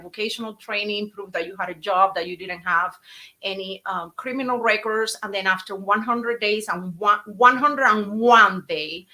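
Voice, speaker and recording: A woman's voice: mid-range voice, low-quality recording. A woman from Cuba with a Cuban Spanish accent, chatting casually on a podcast as a guest and telling stories with many fillers and restarts. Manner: animated, storytelling, frequent fillers and restarts. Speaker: guest speaker.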